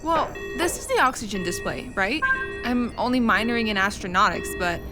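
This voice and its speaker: A woman's voice: mid-range voice, animated, normal speed, over loud music, lightly acted, rough recording. An actress playing a breezy, teasing young female student in an audio drama, casual in manner. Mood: puzzled, dismissive. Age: young adult.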